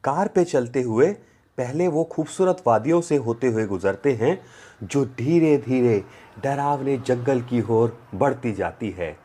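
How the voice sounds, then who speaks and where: A man's voice: medium pitch, lively intonation, unhurried, dark tone, husky. A man narrating a story for children in a storyteller's style. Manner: acts out the characters' dialogue.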